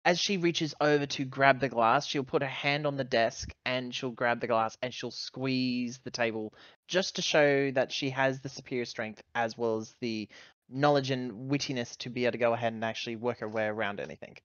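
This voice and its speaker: A man playing a tabletop roleplaying game, describing actions casually, halting and correcting himself as he thinks aloud. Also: medium pitch, steady tone, fairly bright tone, no echo, muffled recording.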